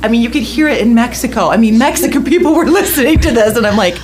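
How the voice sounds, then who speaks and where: A woman's voice: a little high, animated, quick pace, darkish tone. A middle-aged woman from West Michigan, a radio host telling a long story in casual chat, often laughing and restarting her sentences.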